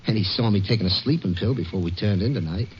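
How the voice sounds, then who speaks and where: A man playing a wary, bossy American farmhand thief in a 1950s radio drama, gruff and slangy with clipped orders. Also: fairly low pitch, fast, a little mellow, natural voice. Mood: sly, scheming. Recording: muffled, noisy old radio broadcast.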